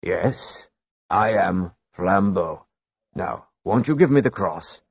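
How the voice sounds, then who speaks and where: A man acts out a huge thief in a storybook who speaks slowly and calmly, which makes his threats all the colder. The voice sits low and gravelly, with a raspy, breathy grain. The sound is dull and dry. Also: no room sound, full character voice. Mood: menacing. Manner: slow, calm, threatening. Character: large calm menacing male thief.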